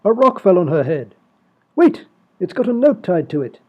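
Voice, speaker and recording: A man playing a prim, easily flustered gentleman in a comic radio play, with fussy, old-fashioned polite phrasing. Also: high voice, big pitch swings, normal speed. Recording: tinny, phone-like sound, music behind.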